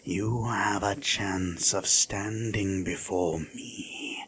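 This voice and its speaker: A man playing an ancient, towering serpentine demon king in a fantasy audio drama, regal and leering, with a breathy, drawn-out, menacingly teasing delivery. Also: lowish voice, steady tone, fairly bright tone, exaggerated voice. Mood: savoring, menacing. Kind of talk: fantasy audio roleplay acting.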